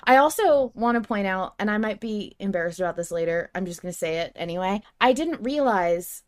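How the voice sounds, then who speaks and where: Casting: female podcast host, medium pitch, effusive and informal, chatting as a devoted fan. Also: lively intonation, fairly quick. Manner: effusive, gushing. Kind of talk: casual fan chat.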